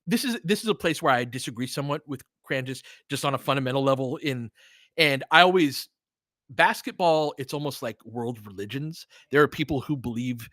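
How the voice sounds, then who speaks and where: A man's voice: mid-range voice, fairly quick, fairly bright tone, no echo, studio quality. A man hosting live basketball commentary as a partisan fan, mixing clipped play calls with conversational tactical breakdowns. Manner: partisan fan calling plays in short clipped phrases, explaining conversationally.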